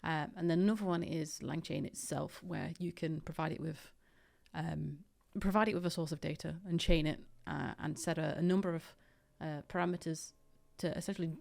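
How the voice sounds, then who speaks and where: A woman's voice: low-pitched, gravelly, darkish tone. A woman co-hosting a workshop, informally explaining things to listeners in a chatty, light-hearted way. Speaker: workshop co-host.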